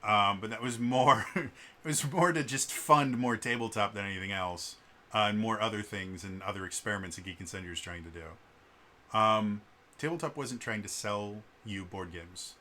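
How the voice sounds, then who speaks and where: A man's voice: lowish voice, moderate pace, bright, forceful tone. A man with a stutter vlogging unscripted, earnest, with many fillers and restarts. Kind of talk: unscripted vlog monologue.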